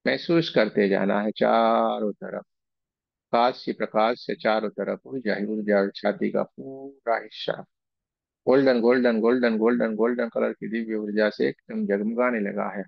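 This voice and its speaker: A man with a low, creaky voice guides a meditation in unhurried phrases, often repeating them gently. He pauses between short phrases in a soothing way. The recording is dry and close but somewhat dull and muffled. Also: steady tone, no room sound. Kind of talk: guided meditation with chanted invocation and slow repetition.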